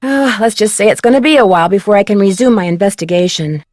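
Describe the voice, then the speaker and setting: A woman's voice: medium pitch, fast, a little mellow, no echo, clean studio sound, natural voice. A woman playing a polite, inquisitive young amateur detective in a video game, speaking earnestly.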